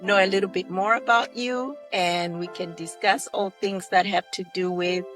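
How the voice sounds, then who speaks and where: A woman's voice: fairly low pitch, a bit bright. A woman hosting a podcast, enthusiastic as she welcomes her guest and leads a prayer. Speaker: podcast host.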